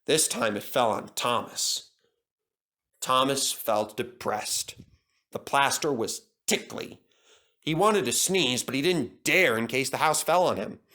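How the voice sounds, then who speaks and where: A man's voice: mid-range voice, a bit bright. A man narrating a scripted children's story as the storyteller.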